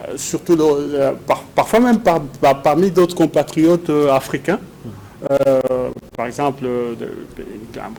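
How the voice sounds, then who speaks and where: Male interview guest, researcher, Congolese French accent, medium pitch, hesitant repetitive phrasing, noisy ambience. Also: a little mellow. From Democratic Republic of the Congo. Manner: hesitant, halting, repeats words. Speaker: guest, researcher and doctoral student. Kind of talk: interview answers. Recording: clear background noise and ambience.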